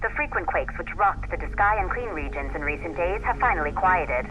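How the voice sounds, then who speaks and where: An actress voicing a formal radio news reader in a video game, with measured, official delivery. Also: female voice, fairly low pitch, expressive, fairly bright tone, highs cut off, faint music behind. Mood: formal, neutral.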